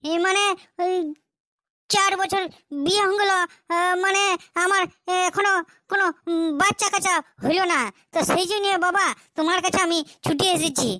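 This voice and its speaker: Hapless husband, extremely high-pitched, piercing, whining, hesitant, comic cartoon. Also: fairly even intonation, normal speed, bright, forceful tone, dry recording, exaggerated voice. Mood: pleading. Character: hapless, complaining, gullible village husband.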